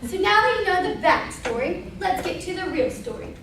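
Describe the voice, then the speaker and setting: A woman's voice: extremely high pitch, big pitch swings, moderate pace, exaggerated voice. A woman on stage playing a blunt, wry murdered landlady who chats to the audience in exasperated asides. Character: wry, blunt murdered landlady narrating her own death, female. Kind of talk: amateur stage comedy acting. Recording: echoey stage recorded from far, faint noise.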